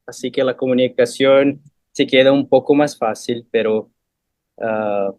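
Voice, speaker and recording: A man's voice: medium pitch, fairly even intonation, a little mellow, no room sound, clean studio sound. Portuguese-accented man reading a lecture. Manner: measured, questioning delivery in Spanish mixed with Portuguese. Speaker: guest lecturer. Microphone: video call.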